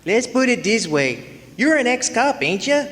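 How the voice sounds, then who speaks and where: Brief: an actor playing a sleazy, rambling trainer with a put-on Latin accent, pitched high, in a long echoing hall. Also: male voice, sing-song, measured pace, fairly bright tone, heavily acted. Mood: suspicious. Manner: rambling, trailing off. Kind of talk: live stage radio play acting. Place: historic club hall, live audience performance.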